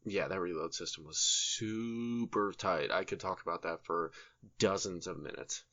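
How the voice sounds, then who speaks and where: A man's voice: low voice, moderate pace, pushed and bright. A man co-hosting a casual podcast, talking in slangy, sweary bro-speak on a slightly muffled recording. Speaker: podcast co-host. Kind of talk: casual chat about video game movies.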